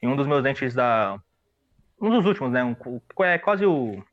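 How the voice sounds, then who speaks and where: A man's voice: mid-range voice, sing-song, measured pace, highs cut off. A young man from São Paulo with a Paulistano accent, chatting casually and joking with slangy, rambling talk on a livestream podcast. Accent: Paulistano Brazilian Portuguese. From São Paulo, Brazil. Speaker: podcast co-host.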